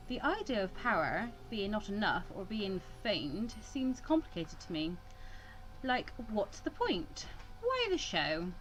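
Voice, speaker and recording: A woman's voice: fairly high pitch, low-quality recording. A woman giving casual let's-play commentary, chatty and often breaking off into asides. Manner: chatty, self-interrupting asides. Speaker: gaming video host. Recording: clear background noise.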